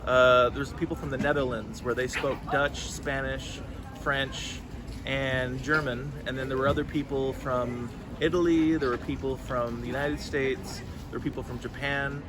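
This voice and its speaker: A man's voice: mid-range voice, fairly even intonation, moderate pace. A man in his thirties, a farmer from California, answering interview questions earnestly, with many restarts. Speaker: farmer, guest. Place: outdoors at a farmers market. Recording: outdoor street noise, traffic.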